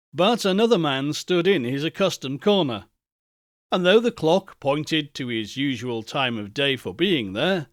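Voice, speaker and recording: A man reading a classic ghost story aloud as an audiobook, in a measured, expressive storytelling manner. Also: a little high, no echo, clean studio sound. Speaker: audiobook narrator. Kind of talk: scripted narration of a classic novel.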